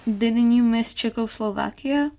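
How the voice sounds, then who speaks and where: A woman's voice: fairly high pitch, measured pace, dark tone, a little hiss or hum. A female performer playing a curious, teasing young daughter, asking direct questions in a radio play. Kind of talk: short radio play dialogue.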